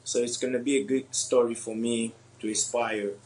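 A young man from Congo with French or Swahili as his first language, answering interview questions in a halting way with many pauses and laughs. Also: medium pitch, steady tone, darkish tone, poor audio. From Democratic Republic of the Congo. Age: young adult. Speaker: MMA fighter guest.

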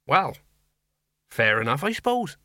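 A man voicing a big, self-important, slow-witted police sergeant in a storybook, with a ponderous, officious manner. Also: a little high, big pitch swings, bright, forceful tone, no echo, studio quality, heavily acted. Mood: baffled, uncertain.

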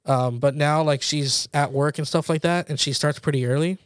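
A man's voice: a little high, steady tone, moderate pace, no room sound, studio quality. A man in his late twenties chatting casually as a podcast co-host, informal, slangy and self-deprecating. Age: late 20s. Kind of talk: casual chat between friends.

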